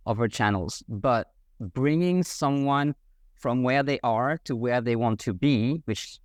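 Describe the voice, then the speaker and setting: French-accented man explaining in measured steps. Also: mid-range voice, dry recording, studio quality. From France. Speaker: guest, French learning coach. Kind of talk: explanatory interview answers.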